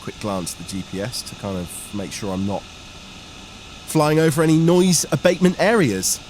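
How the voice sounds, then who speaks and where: A man's voice: medium pitch, expressive, moderate pace, darkish tone. A man giving an informal, enthusiastic flying commentary as a student pilot vlogger. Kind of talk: vlog commentary on a flight. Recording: engine and aircraft noise behind the voice. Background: aircraft engine noise.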